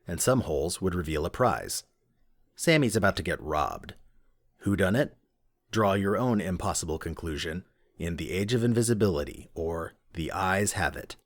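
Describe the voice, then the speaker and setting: A male announcer reads scripted narration in a low voice. The sound is dry and close, with no room around it. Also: no echo.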